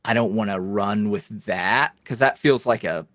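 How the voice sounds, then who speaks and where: A man's voice: on the low side, moderate pace, a bit bright, no room sound, clean studio sound. A man talking casually as a podcast guest, rambling and self-deprecating, with lots of fillers. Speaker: podcast guest, ASMR roleplay creator. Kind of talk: casual interview chat.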